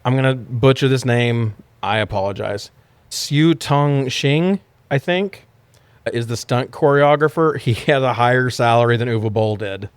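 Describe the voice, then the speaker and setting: A man's voice: medium pitch, unhurried, smooth, dry recording. A man co-hosting a podcast, sharing movie trivia in a jokey, conversational, sometimes sweary way. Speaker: podcast co-host. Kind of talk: casual film-trivia chat, partly reading facts.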